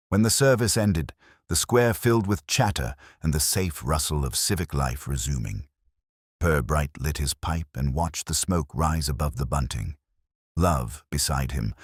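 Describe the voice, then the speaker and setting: A man with a very deep, husky voice narrates a detective story. His delivery is measured and literary, with animated rises and falls, recorded dry and close. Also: no echo.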